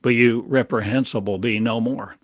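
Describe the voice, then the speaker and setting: A man delivering a channeled prophetic monologue in halting, fragmented, oracular phrases full of coined words. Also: mid-range voice, fairly even intonation, dry recording, clean studio sound.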